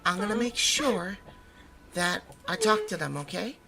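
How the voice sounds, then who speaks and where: A woman playing a protective mother in an audio roleplay, gently and earnestly reassuring her child in a halting way. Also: fairly low pitch, big pitch swings, creaky, crowd noise, full character voice. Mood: firm, loving. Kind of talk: audio roleplay drama acting.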